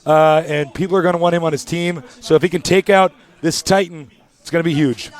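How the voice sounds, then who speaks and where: A man's voice: fairly high pitch, steady tone, a little fast. A young man doing live esports play-by-play in a packed studio, hyped, joking and announcer-like. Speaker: esports commentator.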